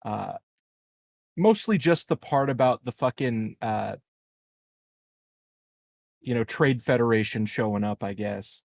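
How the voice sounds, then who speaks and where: A man of middling pitch talks through his turn in a tabletop game. He is casual and halting, and he swears freely. The sound is dry with no room echo. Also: gravelly. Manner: profane, halting.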